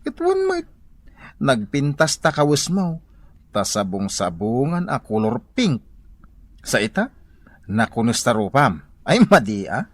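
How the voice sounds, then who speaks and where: An actor with an Ilocano accent playing a weary, grumbling husband in a comedy radio drama. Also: male voice, medium pitch, wildly swooping pitch, unhurried, pauses often, no echo. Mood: teasing, exasperated. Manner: grumbling, exasperated.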